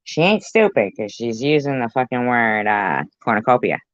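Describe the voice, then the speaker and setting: A woman's voice: very deep voice, big pitch swings, moderate pace, dry recording. A woman commentary host reacting to a clip in a blunt, sarcastic, profane way. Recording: dull lo-fi recording.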